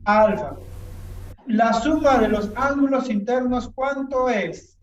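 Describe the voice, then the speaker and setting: A woman's voice: a little high, lively intonation, slow pace, roomy sound, highs cut off. Woman, math tutor, patient repeated questions, distant mic, faint room noise.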